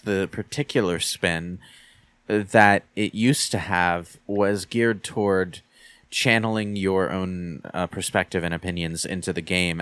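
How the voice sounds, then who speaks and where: Man, fairly low pitch, casual debate style, halting phrasing, repeated words, gaming commentary. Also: sharp tone, smooth, dry recording. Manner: halting, repeats words while forming arguments. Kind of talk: casual opinionated discussion of video games.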